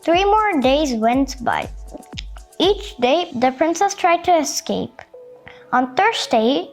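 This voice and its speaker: A woman reading a storybook aloud to children, with expressive, carefully phrased narration. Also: high-pitched.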